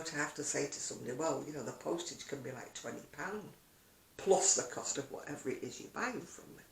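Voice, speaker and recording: A woman's voice: deep voice, creaky, a bit bright. An older woman chatting casually to camera as a video blogger, rambling along with self-deprecating asides in an echoey room. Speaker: video blogger and craft seller.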